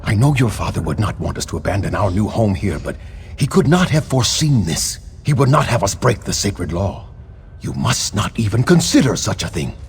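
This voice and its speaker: An actor playing an earnest adult prince in a game, insisting that a sacred law be kept. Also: male voice, fairly low pitch, big pitch swings, normal speed, vocal fry, slight background noise. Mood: insistent. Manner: insistent, pleading tone. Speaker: game character.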